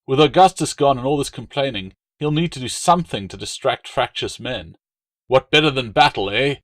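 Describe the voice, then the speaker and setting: A man's voice: mid-range voice, normal speed, a bit bright, no room sound, pitched above the performer's own voice, natural voice. A man voicing a bluff, overbearing Roman legate in an audiobook, with a hearty, pompous, blustering delivery. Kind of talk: audiobook character dialogue.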